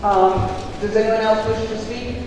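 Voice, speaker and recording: A woman's voice: on the high side, fairly even intonation, unhurried. Woman, chair of a session, firm rulings, distant mic, long reverb. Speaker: presiding chair of a legislative session. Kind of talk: formal parliamentary procedure. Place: large legislative hall. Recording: echoey chamber, distant mic, faint noise.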